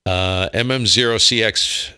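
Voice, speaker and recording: A man's voice: on the low side, steady tone, measured pace, pushed and bright, smooth. A middle-aged man in casual radio chat, telling stories informally and repeating words for emphasis. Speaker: amateur radio operator.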